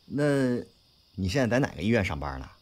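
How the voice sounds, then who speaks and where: Audio drama: man as cocky young male gangster, loyal at heart, slangy, very lively intonation. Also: deep voice, fast. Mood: probing. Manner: slangy, swearing, swaggering. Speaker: voice actor in a fan audio drama. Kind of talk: Chinese audio drama acting.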